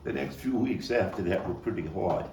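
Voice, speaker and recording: A man performing a dramatic monologue as a Brooklyn man recalling a violent boyhood, halting and deliberate, with repeats and weighty pauses. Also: fairly low pitch, lively intonation, gravelly. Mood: mournful, wistful. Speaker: actor and playwright reading his own monologue. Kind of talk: dramatic monologue at a table read. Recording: roomy, distant mic with faint background noise.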